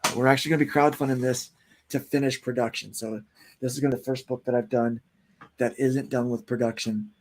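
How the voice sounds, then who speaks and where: A man's voice: medium pitch, steady tone, brisk, slight background noise. A man in his forties, a comic book writer chatting casually as an interview guest, rambling with lots of fillers. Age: 40s.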